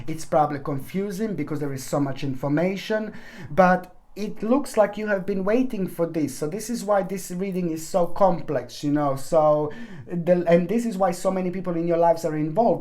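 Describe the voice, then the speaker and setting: A woman's voice: on the low side, mellow. A woman giving a weekly tarot reading, talking earnestly and repeating herself, with fond endearments for her viewers. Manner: many fillers and restarts, pleading emphasis. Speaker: tarot reader.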